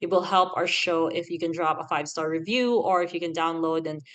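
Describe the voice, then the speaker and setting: A woman in her thirties from the Philippines, with a Filipino accent that leans American, giving animated, chatty podcast advice and laughing often. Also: low voice, brisk. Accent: Filipino, with an American lilt. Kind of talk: casual advice talk.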